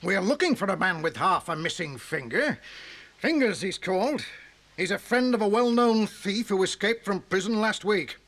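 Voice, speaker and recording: High-pitched, earnest policeman. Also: expressive, moderate pace, fairly bright tone, no echo. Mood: polite, inquiring. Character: male police officer, earnest and inquiring. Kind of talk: children's audio dramatisation. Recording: hissy old cassette recording.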